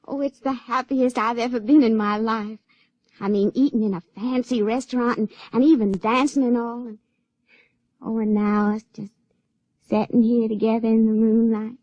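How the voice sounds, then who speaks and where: High-voiced, folksy country girl played by a woman. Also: fairly even intonation, moderate pace, dark tone, no room sound, lightly acted. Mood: wistful, happy. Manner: folksy rural speech. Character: naive country young woman with uncanny powers, lovelorn, spirited. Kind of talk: radio drama acting. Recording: dry, dull radio-drama recording.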